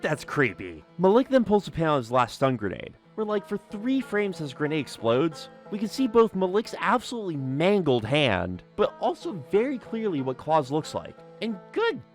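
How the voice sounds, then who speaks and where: A man narrating a scripted video analysis with casual asides, in an emphatic, conversational and informal way. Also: on the high side. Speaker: video essay host and narrator.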